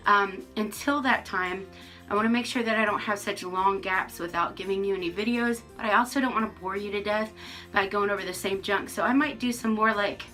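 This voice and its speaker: A woman's voice: mid-range voice, fairly quick, sharp tone, soft background music. A woman in her forties giving a casual, frank vlog update to camera from her rural home, with lots of ums and restarts. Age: 40s. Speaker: vlogger.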